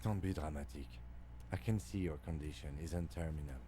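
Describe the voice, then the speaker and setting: A man voicing a calm, stern police lieutenant who speaks curtly and quietly. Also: rumbling low, moderate pace, slight background noise, voice pushed down. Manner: curt, quiet and dry.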